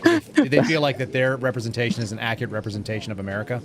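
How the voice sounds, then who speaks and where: Quick sarcastic man over crowd noise. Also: medium pitch. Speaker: show host. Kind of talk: sarcastic live commentary.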